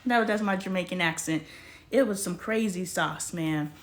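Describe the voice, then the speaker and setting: A woman in her forties hosting a casual livestream, talking straight to her viewers in an animated, slangy way. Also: fairly low pitch, normal speed. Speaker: livestream host.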